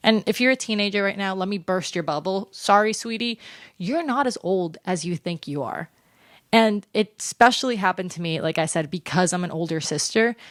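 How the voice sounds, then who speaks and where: Young woman in her twenties, chatty sit-down vlogger, dry close sound, mid pitch. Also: normal speed, no room sound. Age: 20s. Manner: chatty, animated, filler-heavy with lots of "like". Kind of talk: casual sit-down chat to camera.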